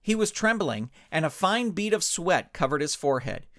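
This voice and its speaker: A man's voice: a little high, sing-song, moderate pace, fairly bright tone. A man narrating a scripted comic adventure story as its author and reader.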